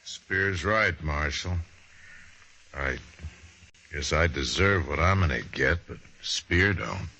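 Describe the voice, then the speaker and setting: An actor playing a resigned but loyal cavalry soldier in an old-time radio western, with rustic, folksy speech. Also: male voice, rumbling low, takes it slowly, pauses often, sharp tone, exaggerated voice. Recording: muffled vintage radio broadcast recording.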